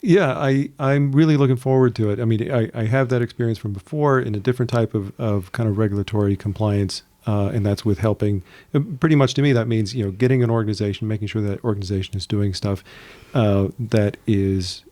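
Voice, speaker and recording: A man in his forties, a guest on a podcast, talking casually in a measured way with many fillers and restarts. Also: fairly low pitch, steady tone, warm tone, no echo. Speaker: podcast guest, VP of operations and compliance. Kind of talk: casual interview talk.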